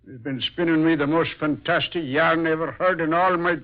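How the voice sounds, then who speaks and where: Guy doing brash flustered policeman, kinda high voice, old time radio play, tinny recording. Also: fairly even intonation, a little fast, close to an everyday voice. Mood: blustering. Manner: slangy, blustery. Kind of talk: 1940s radio drama acting.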